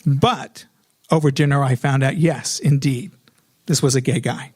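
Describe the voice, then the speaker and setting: A man's voice: mid-range voice, measured pace, a little mellow, breathy edge. A middle-aged American man telling a personal story in a comedic, self-mocking way, animatedly acting out remembered dialogue.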